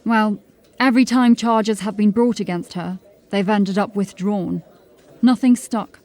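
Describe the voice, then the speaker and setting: A diligent constable in a dark fantasy audio drama, acted by a woman, with a middle-pitched voice. She reports in an articulate, measured way with a dry edge of wit. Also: fairly even intonation, natural voice. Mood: dry, informative. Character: sharp, diligent, drily witty female police constable.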